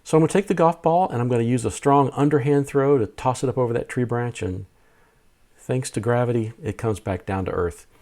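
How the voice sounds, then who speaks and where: A man's voice: mid-range voice, mellow. A man narrating a casual hobby vlog, explaining things step by step in a plain-spoken way. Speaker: amateur radio video host.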